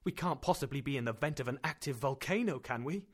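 A man voicing a terrified young man who shouts frantically. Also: medium pitch, expressive, quick pace. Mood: frantic. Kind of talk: audiobook character reading.

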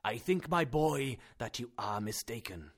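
A man voicing a calm older professor who speaks in a slow, measured, confident way. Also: mid-range voice, slightly rough. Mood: calmly certain. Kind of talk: audiobook character reading.

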